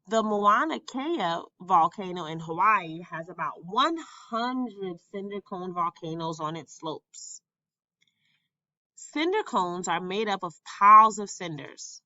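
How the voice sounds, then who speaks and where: Casting: female teacher type for textbook read-aloud, bright and animated, deliberate delivery, dry sound. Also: mid-range voice, no echo, muffled recording. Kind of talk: educational read-aloud narration.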